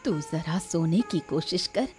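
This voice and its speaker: A woman voicing an anxious, protective wife and mother in a cartoon story, urgent and expressive. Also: lowish voice, slow, faint music behind. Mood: worried, tender. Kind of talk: animated moral story dramatization.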